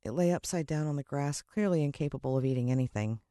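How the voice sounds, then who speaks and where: Female American narrator for children's fiction: expressive, suspenseful storyteller, dry sound. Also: low voice, quick pace, dry recording. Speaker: audiobook narrator.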